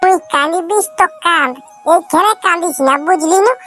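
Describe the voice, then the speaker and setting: A man's voice: very high voice, moderate pace, bright, forceful tone, soft background music. A man voicing an exasperated, blunt village mother in a comedy cartoon, with a Purulia Bengali accent. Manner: scolding, blunt, grumbling. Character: exasperated, scolding village mother, quick to threaten.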